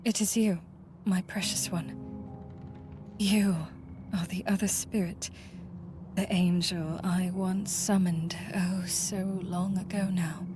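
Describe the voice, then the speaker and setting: A woman's voice: fairly low pitch, fairly even intonation, slow, pauses often, over music. A woman playing a wistful, doting old friend in a visual novel, speaking in florid, archaic phrases. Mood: tender, hushed.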